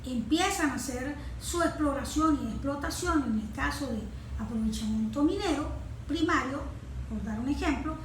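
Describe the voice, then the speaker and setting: A Venezuelan woman explaining like a consultant being interviewed, haltingly, often checking that the listener follows. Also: high voice. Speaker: environmental consultant, guest. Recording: roomy echo, some background noise.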